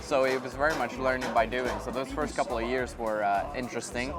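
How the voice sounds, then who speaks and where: A man's voice: mid-range voice, fairly quick, loud music behind the voice. A young man from Sweden, a racing driver chatting casually as an interview guest. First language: Swedish. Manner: relaxed, easygoing, frequent ums and yeahs.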